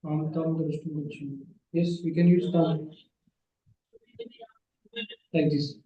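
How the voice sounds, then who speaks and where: A man teaching a Sanskrit class over a video call, his voice a little high but mellow and soft-edged. He repeats phrases patiently and slowly. The sound is roomy and muffled. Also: flat delivery. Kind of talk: online grammar class.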